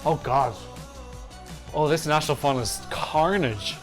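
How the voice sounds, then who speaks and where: A man giving casual, excitable reaction commentary on songs, swearing freely as he chats. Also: on the high side, unhurried. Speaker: Eurovision reaction YouTuber. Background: faint music playing.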